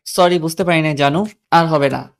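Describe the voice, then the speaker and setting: Woman doing flirty clingy college guy, soft wheedling talk, audio romance story. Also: low-pitched, moderate pace, voice pushed down. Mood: apologetic, wheedling.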